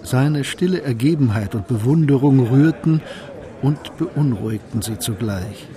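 A man's voice: medium pitch, animated, unhurried, crowd noise, lightly acted. A man giving composed, literary storytelling narration in a radio drama.

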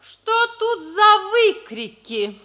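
A woman's voice: very high-pitched, big pitch swings, slow, bright, forceful tone, full character voice. An actress playing an imperious, gushing matronly mother in a grand, affected 1960s radio comedy style.

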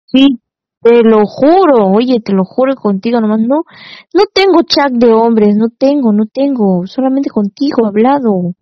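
A dull, muffled phone-call recording with a dry, close sound. A woman with a fairly high voice chats informally. She is insistent and tends to repeat short phrases. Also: animated, a little slow, no room sound. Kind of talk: casual private phone conversation. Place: phone call, one side heard.

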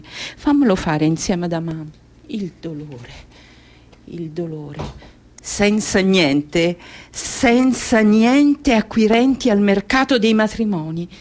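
Low-voiced, haunted Somali woman. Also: mellow. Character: anguished Somali woman reliving her mutilated childhood self. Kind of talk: radio drama monologue.

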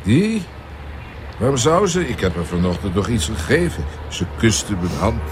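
An actor playing a dismissive, matter-of-fact husband on holiday in a radio play. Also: male voice, on the low side, big pitch swings, normal speed, noisy background. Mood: puzzled, casual. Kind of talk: Dutch radio play acting.

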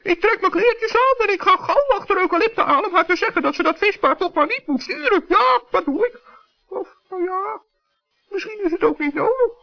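A man voicing a small, naive gnome in a children's radio play, squeaky, childlike, earnest and dithering. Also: animated, moderate pace, vocal fry, full character voice. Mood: worried dithering. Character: small male gnome, naive, curious, hesitant. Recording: dull 1960s radio recording.

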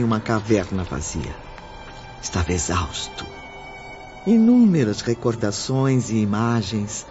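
Slow, solemn radio drama narrator. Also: male voice, medium pitch, darkish tone. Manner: solemn, emphatic delivery. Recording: muffled audio with music behind.

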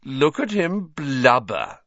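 A man's voice: fairly high pitch, huge pitch swings, moderate pace, vocal fry, fairly bright tone, dry recording, highs cut off, pitched above the performer's own voice, full character voice. A man voicing a sneering, spiteful teenage schoolboy bully in a storybook. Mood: mocking.

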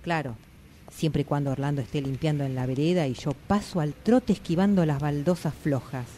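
A woman's voice: deep voice, normal speed, mellow, a little hiss or hum, close to an everyday voice. A woman acting an anxious, introspective character in a radio drama monologue, confiding and dramatic, with a Buenos Aires accent. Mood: reflective. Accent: Argentine, Buenos Aires. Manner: confiding, dramatic, reflective with colloquial swearing. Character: anxious, introspective woman haunted by always running late.

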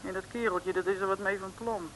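A woman's voice: mid-range voice, steady tone, fast talker, natural voice. An actress playing a detective's perky, polite young female sidekick in an old Dutch comic radio drama. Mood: watchful. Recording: noisy old radio recording.

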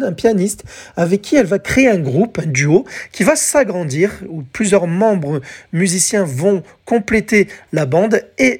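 Husky, lower-pitched woman podcast host. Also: a little mellow. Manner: chatty, self-correcting, addresses listeners directly. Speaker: podcast host presenting songs. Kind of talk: conversational music explainer podcast.

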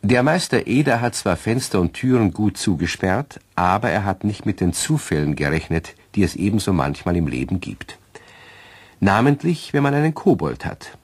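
A man with a husky voice playing the genial narrator of a children's radio play. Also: on the low side, very animated, normal speed, no echo. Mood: storytelling. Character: genial male storyteller of a children's tale.

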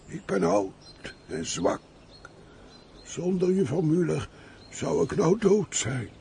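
A pious old peasant in a radio play. The voice is fairly high for a man and gravelly with age. He takes his time, emotive and humble, over a faintly noisy recording. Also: warm tone, heavily acted. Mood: wistful sorrow. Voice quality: creaky, aged voice. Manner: slow, emotive, full of sighs and exclamations. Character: pious, grateful, frail old peasant father of about seventy. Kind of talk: theatrical Dutch radio play acting.

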